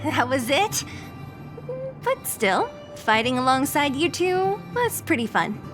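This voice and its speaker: A woman playing a feisty, blunt young street fighter in a video game, with a brash, casual and expressive delivery. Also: high-pitched, slow, exaggerated voice. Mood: cocky. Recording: music behind the voice.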